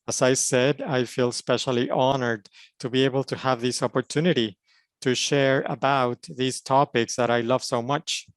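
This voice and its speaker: A man interprets a conference panel, moving through formal phrases in short, steady chunks. His voice sits at an ordinary male pitch. The sound is dry and close. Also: moderate pace, no echo.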